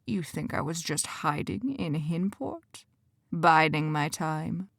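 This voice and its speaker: Smug demon leader, male, slow and low-voiced; a woman reads him. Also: expressive, gravelly, dry recording, clean studio sound, full character voice. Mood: menacing. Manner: slow, low, quiet taunting.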